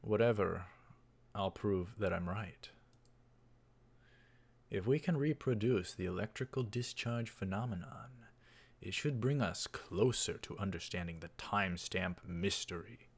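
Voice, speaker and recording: Low-voiced man reading a visual novel aloud. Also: a little slow, husky. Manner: narrates each line with dialogue tags and aside remarks. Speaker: let's play commentator.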